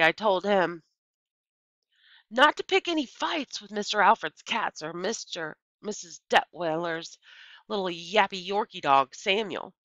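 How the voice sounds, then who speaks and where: A middle-aged woman reading a children's novel aloud like a teacher, with expressive, deliberate storytelling and emphasized key words. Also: fairly low pitch, no room sound. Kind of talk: children's novel read-aloud, scripted narration. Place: small indoor room with bookshelves. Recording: dull, lo-fi computer microphone sound.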